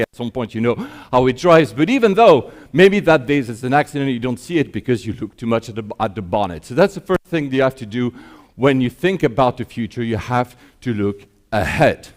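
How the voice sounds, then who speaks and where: A middle-aged man from Switzerland gives an informal keynote talk in a conversational, wry, self-deprecating manner, often posing rhetorical questions. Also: mid-range voice. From Geneva, Switzerland. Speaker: conference keynote speaker. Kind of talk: informal keynote presentation on stage. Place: conference stage, addressing an audience.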